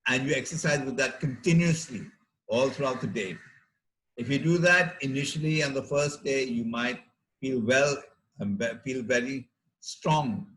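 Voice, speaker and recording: A middle-aged man with an Indian accent delivers a health lecture as a doctor. His pitch is average and his pace is slow. He explains things in measured phrases, pausing deliberately between them. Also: fairly bright tone, rough recording. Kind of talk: health talk to teachers.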